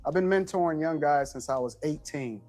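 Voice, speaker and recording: A man's voice: on the high side, fairly quick, a little mellow, soft background music. A man in his thirties speaking firmly as a talk show guest. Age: 30s.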